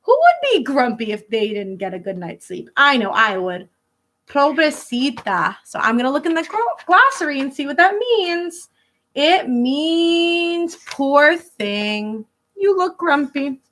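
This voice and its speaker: Bright, high-voiced woman reading a storybook aloud. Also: sing-song, unhurried, a little hiss or hum. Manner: teacherly, stops to translate and explain words simply. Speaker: elementary school teacher reading aloud to pupils. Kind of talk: expressive picture-book read-aloud with explanatory asides.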